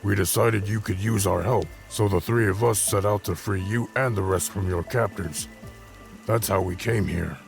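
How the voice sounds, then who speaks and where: A man voicing a gruff dwarf ex-gladiator recounting adventures in a gravelly voice, in a fantasy audio story. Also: lowish voice, normal speed, faint music behind. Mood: matter-of-fact.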